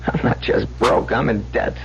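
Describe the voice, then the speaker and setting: An actor playing a small-time swindler pleading with his sweetheart in an old radio drama, speaking in halting, repetitive street slang. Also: lowish voice, creaky. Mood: bitter confessing. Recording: dull, hissy vintage radio recording with hum.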